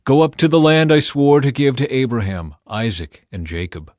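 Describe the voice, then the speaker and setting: A man's voice: medium pitch, big pitch swings, normal speed, a little mellow, dry recording, natural voice. A grave, commanding God, voiced by a male reader, speaks in an audio scripture. The delivery is solemn and authoritative, in a very dry recording. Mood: stern warning.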